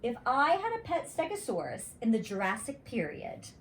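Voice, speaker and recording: A woman's voice: on the high side, huge pitch swings, normal speed, reverberant, a little hiss or hum, pitched above the performer's own voice, low-quality recording. A woman voicing a know-it-all schoolboy in a children's storybook, precise and matter-of-fact. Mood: smug, precise.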